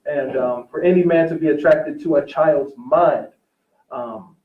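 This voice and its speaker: A man in a casual discussion, agreeable, choosing his words in a measured, somewhat hesitant way. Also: a little high. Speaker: teacher, talk show guest or co-host. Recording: roomy, dull, distant-mic recording.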